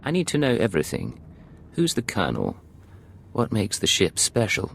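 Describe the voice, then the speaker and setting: Cool defiant spy, really low voice but lots of movement in it, terse, game cutscene. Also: male voice, wildly swooping pitch, normal speed, vocal fry, slight background noise, exaggerated voice. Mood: urgent. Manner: terse, confrontational. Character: defiant, cool, businesslike male intelligence agent. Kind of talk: dramatic video game voice acting.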